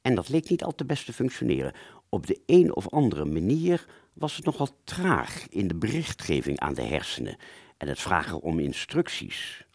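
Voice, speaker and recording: A man's voice: low voice, wildly swooping pitch, normal speed, creaky, darkish tone, husky, dry recording, clean studio sound. A man narrating a first-person story for an audio play, hesitant and conversational, drawing out words and suddenly stressing others.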